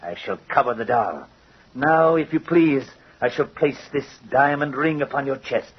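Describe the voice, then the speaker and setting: An actor playing a vengeful, gloating doll-maker in old-time radio horror, with a theatrical, menacing and mocking manner. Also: male voice, medium pitch, normal speed, close to an everyday voice. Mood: menacing, exultant. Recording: husky, muffled old radio recording.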